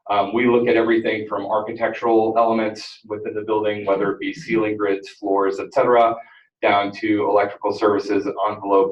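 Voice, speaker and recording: Man, very low loud voice, rambling meeting presenter, distant mic in echoey room. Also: muffled recording. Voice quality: self-described very loud voice. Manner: loose, rambling, many fillers and restarts. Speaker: energy audit presenter. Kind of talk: presentation at a school board meeting. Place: school district board meeting room. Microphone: room microphone at some distance.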